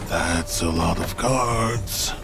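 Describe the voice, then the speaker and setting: An actor playing a big, good-natured, slightly unsure trapper in a Western video game, with a gravelly, folksy delivery. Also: male voice, low voice, extremely slow, full character voice. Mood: eager, unsure. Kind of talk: video game cutscene acting.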